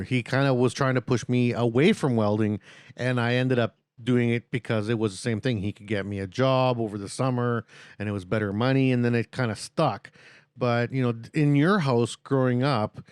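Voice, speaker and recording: A man's voice: mid-range voice, a little mellow, clean tone, no echo, studio quality. A man hosting a podcast interview, talking casually and rambling with lots of fillers and chuckles. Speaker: podcast host.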